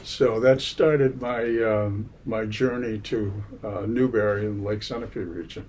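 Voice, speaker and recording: A man in his seventies from Massachusetts, giving an oral history interview in a library, unhurried and full of hesitations. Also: fairly low pitch, fairly even intonation, darkish tone, a little hiss or hum. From Massachusetts, USA. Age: 70s. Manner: unhurried, many hesitations and fillers. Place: public library.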